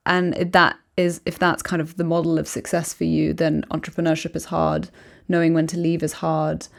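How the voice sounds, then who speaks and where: A woman hosts a podcast interview in a lowish, level voice with a gravelly edge. She asks reflective, measured questions in a casual conversation. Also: flat delivery. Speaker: podcast host.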